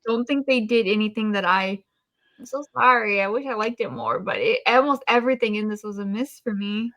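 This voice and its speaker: A woman's voice: mid-range voice, lively intonation, brisk, fairly bright tone. A woman co-hosting a podcast, giving blunt, opinionated critique in a casual chat style. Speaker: podcast co-host.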